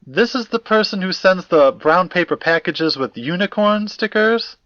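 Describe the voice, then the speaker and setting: A man's voice: high voice, animated, moderate pace, a bit bright, dry recording, lo-fi sound. A man playing a formal, fussy academic in an audio drama, precise and wordy, with old-fashioned politeness. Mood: formal, measured. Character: formal, fussy, courteous male academic investigator.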